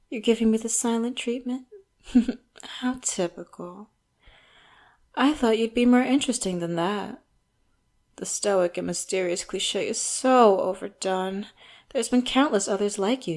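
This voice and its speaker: A woman playing an elegant, condescending villain interrogator in an audio roleplay, speaking in a theatrical, mock-polite, taunting way. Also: medium pitch. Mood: taunting, bored. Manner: slow, theatrical, mock-polite, taunting, drops into hushed asides. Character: elegant, wealthy villain boss, coolly menacing and condescending interrogator. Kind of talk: audio roleplay, scripted monologue to the listener.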